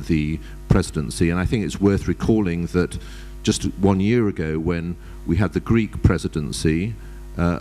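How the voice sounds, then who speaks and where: A man speaking as a panelist at a conference, in a measured, formal way with many hesitations. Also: deep voice, darkish tone, slight background noise. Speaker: panelist, ambassador.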